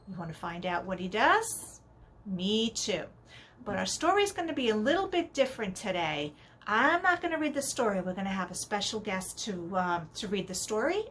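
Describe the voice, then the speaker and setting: Animated woman hosting children's story time. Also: mid-range voice, slight background noise. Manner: animated, encouraging, sing-song questioning. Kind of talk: addressing young children directly.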